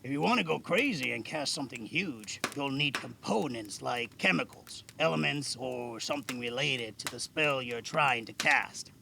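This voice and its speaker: A man playing a patient, modest veteran magic caster in an amateur audio drama, gravelly and measured, with thoughtful hums. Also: mid-range voice, expressive, noisy background. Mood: explaining. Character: Alan, weathered veteran male caster, patient, modest, wryly guarded.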